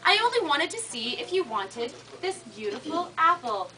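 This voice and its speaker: A woman playing a coaxing, high-pitched old peddler witch. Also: sing-song, normal speed, sharp tone, heavily acted. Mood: sly coaxing. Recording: distant mic, light background noise.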